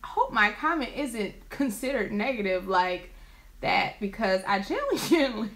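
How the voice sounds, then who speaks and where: Loud, high-pitched excitable young woman. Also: normal speed. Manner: aggressive talker. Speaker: co-host. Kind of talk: casual couple chat. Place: small indoor room.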